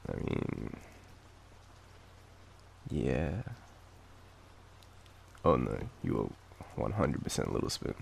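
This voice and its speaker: An Australian man with a cold speaks in a soft, halting, affectionate way, as in an intimate boyfriend roleplay. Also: a bass voice, big pitch swings, vocal fry. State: sick with a cold. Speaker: audio roleplay performer playing an affectionate sick boyfriend. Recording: background noise of rain. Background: rain ambience.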